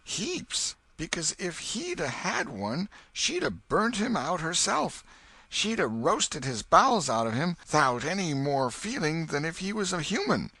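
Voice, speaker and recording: Brief: sly, cheeky country boy, high and drawling, put on by a male narrator. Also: very animated, measured pace, bright, forceful tone, no echo, heavily acted.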